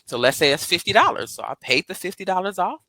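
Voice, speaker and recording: Woman, financial planner guest; animated, preachy motivator; forceful bright voice, dry close sound. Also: on the low side, quick pace, no room sound. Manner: animated and preachy, keeps asking "right?"; laughs often, swings into lively voiced asides. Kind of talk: upbeat motivational interview talk.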